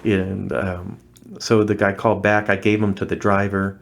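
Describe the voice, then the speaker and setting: Low-voiced man telling his story. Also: completely flat delivery, normal speed, creaky. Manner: earnest, recounting events with many restarts. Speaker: interview guest, former missionary. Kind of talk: casual storytelling interview.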